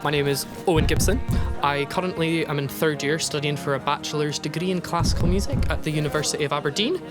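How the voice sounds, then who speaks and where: Young man, student interviewee, relaxed chatty talk, music playing behind. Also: a little high, fast. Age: 20s. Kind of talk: casual interview.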